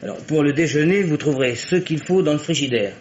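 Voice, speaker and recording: An actor playing a concerned, loyal friend in an old French radio mystery, reasoning politely with hesitant interjections. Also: male voice, a little high, fairly quick, natural voice. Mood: reassuring, practical. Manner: formal address, hesitant, interjects often. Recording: dull, noisy vintage radio recording.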